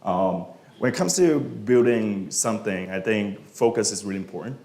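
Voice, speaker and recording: A man from Malaysia with a lowish voice speaks in a relaxed, unhurried way, full of fillers, his sentences often trailing off quietly. The sound carries the echo of an event room. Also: creaky. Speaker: guest speaker, software engineer. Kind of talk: casual talk and Q&A answers. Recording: echoey room.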